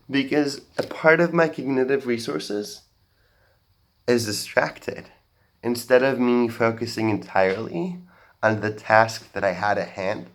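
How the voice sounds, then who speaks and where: Young man, American-sounding accent, earnest stage talk, halting restarts, slow pace. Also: medium pitch. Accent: general American. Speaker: speaker at a talk. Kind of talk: public talk, personal story. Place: auditorium stage.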